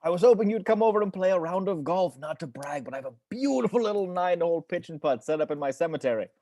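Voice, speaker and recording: A man's voice: high voice, animated, fast, warm tone, no echo, studio quality. A man playing a chummy, eccentric family patriarch in a table read of a comedy script. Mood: genial. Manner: chummy, schmoozing.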